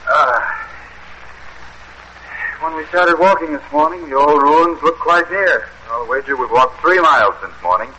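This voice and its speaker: An actor playing an affectionate, poetic young husband on holiday in a 1940s style radio drama. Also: male voice, on the high side, measured pace, sharp tone. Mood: weary, fond. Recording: dull, hissy old radio recording.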